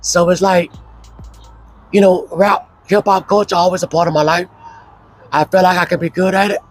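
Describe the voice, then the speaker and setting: Hoarse man, slightly high pitch, casual laid-back storyteller, soft music behind. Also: low-quality recording. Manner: relaxed, slow, with frequent fillers. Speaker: interview guest.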